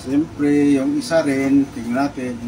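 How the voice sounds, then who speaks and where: Casual Filipino male vlogger, mid pitch, halting product-unboxing delivery, recorded at a distance with noticeable noise. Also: flat delivery, warm tone. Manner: halting, repeats words, addresses viewers often. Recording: distant mic, clearly noisy background with birds.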